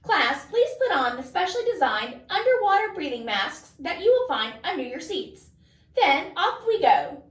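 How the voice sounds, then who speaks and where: A woman voicing a cheerful, adventurous schoolteacher in a storybook read-aloud, with enthusiastic, drawn-out emphatic phrases. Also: very high-pitched, very animated. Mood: encouraging. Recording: echoey room, distant mic.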